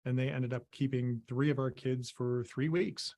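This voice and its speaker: A middle-aged man from Maine, a guest on a video call, speaking in halting, casual sentences full of ums and restarts. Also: mid-range voice, steady tone, darkish tone. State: losing his voice, clearing throat. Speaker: interview guest, visual effects artist.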